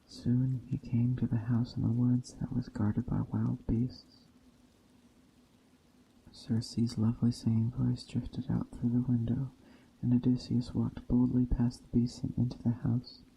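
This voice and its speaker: A man softly reading a story in a hushed, gentle ASMR storytelling style. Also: medium pitch, completely flat delivery, measured pace, gravelly, a little hiss or hum, low-quality recording. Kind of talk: soft-spoken ASMR reading of a Greek myth tale. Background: soft nature ambience.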